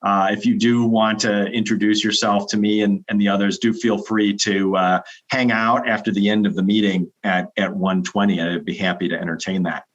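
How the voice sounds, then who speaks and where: A man's voice: lowish voice, little pitch movement, moderate pace, hardly any pauses. A man hosting a virtual club meeting, upbeat and welcoming, with frequent fillers. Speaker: meeting host. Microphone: video call. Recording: dull lo-fi video call audio.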